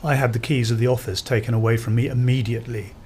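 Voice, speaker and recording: A man's voice: medium pitch, fast talker, slight background noise. A man guesting on a podcast, telling his story casually with frequent ums. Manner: relaxed, hesitant with ums. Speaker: podcast guest, business consultant.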